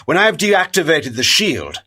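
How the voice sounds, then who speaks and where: An actor playing a proud, cunning vampire noble in a video game, with a theatrical, formal and imperious manner. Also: male voice, a little high, big pitch swings, measured pace, pushed and bright, husky, heavily acted. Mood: commanding, urgent. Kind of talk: dramatic video game cutscene acting.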